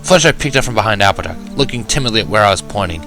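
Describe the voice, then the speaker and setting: Low-pitched man narrating over music. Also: expressive, very fast. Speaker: fan fiction reader. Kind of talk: scripted first-person story narration.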